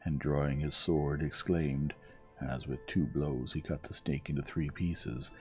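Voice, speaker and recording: A man reading a storybook tale as gentle, measured bedtime narration, soft and soothing. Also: a bass voice, fairly even intonation. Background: music.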